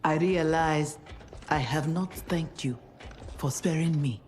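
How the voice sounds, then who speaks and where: A woman's voice: low voice, takes it slowly, background noise. An actress playing an earnest, formal, contrite warrior woman in a video game. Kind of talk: dramatic video game cutscene acting.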